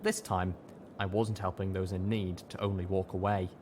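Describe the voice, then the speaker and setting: Low-voiced man narrating a story. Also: little pitch movement, moderate pace, darkish tone, slight background noise. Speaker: audiobook reader. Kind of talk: first-person fiction narration.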